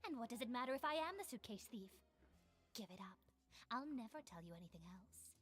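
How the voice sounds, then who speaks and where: A woman's voice: fairly high pitch, expressive, brisk, fairly bright tone, breathy edge, soft background music. An actress playing a defiant, evasive female bar owner in a video game, with a haughty, curt delivery. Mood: defiant, dismissive.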